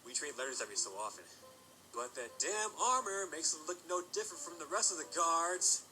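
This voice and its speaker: A man's voice: on the high side, expressive, fairly quick, bright, forceful tone, faint music behind, rough recording. An actor playing a jumpy young male pony in an audio drama. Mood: explaining.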